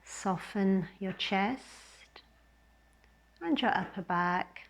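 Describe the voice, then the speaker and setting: Female yoga teacher, unhurried gentle delivery for guided relaxation, mid-pitched. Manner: gentle, unhurried, with long pauses between short phrases.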